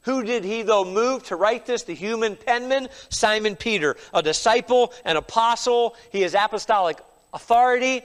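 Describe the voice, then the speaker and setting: A man's voice: high-pitched, normal speed. A middle-aged man preaching a sermon as pastor, a little stuffy from a cold, emphatic, with frequent "all right?" asides.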